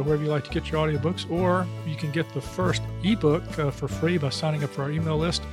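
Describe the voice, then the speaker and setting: A man hosting a podcast, who talks loosely in a chatty way with many fillers. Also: fairly high pitch. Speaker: podcast host. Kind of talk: podcast interview.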